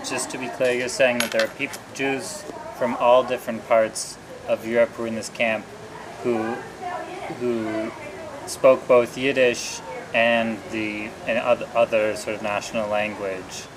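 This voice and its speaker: A man interviewing, speaking reflectively and a little haltingly as he searches for words. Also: medium pitch, level tone, low-quality recording. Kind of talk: conversational interview. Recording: busy crowd noise behind. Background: crowd chatter.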